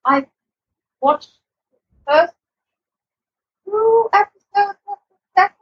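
A woman's voice: very high voice, lively intonation, slow, dry recording, dull audio. A woman co-hosting a podcast, chatting casually in hesitant, broken phrases. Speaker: podcast co-host. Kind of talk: casual chat.

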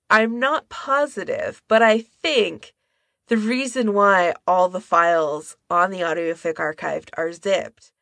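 A woman with a lowish, creaky voice talks casually as a podcast co-host. She is careful to qualify her claims, and the sound is dry and close, with no room echo. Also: a bit bright. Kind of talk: casual discussion.

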